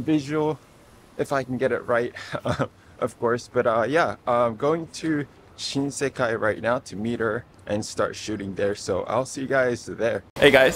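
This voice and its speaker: A man's voice: mid-range voice, slight background noise. A man in his twenties talking casually to the camera as a vlogging photographer out on city streets, recorded on a camera at arm's length. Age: 20s. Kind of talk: casual vlog talk to camera. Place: city streets, station and covered alleys, outdoors. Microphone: camera held at arm's length. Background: music.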